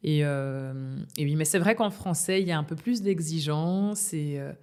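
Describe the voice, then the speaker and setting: A young woman from Belgium with a low, full voice talks through her thoughts in an interview. She hesitates often, restarting sentences and filling gaps with small sounds. The talk is relaxed and unscripted. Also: normal speed, smooth. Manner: hesitant, many fillers and restarts, laughs often. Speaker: singer, interview guest. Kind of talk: casual interview conversation.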